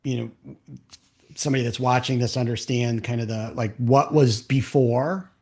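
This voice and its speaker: A man's voice: medium pitch, steady tone, moderate pace, darkish tone. Casual male interview host.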